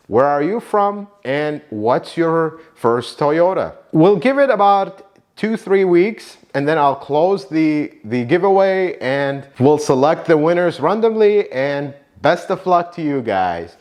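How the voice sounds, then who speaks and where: Earnest man from Iraq thanking viewers. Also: slow pace. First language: Arabic. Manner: earnest, warm gratitude, lively pitch swings. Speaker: YouTube channel host, car mechanic. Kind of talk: heartfelt thank-you talk to viewers.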